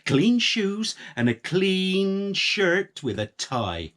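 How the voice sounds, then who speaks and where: A man's voice: sits high, very animated, a bit bright, pitched above the performer's own voice, exaggerated voice. A man voicing a bossy, nagging farmer's wife in a children's story, fussy and commanding. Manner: nagging, commanding, drawn-out emphasis. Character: bossy, scolding farmer's wife, fussy about clean clothes.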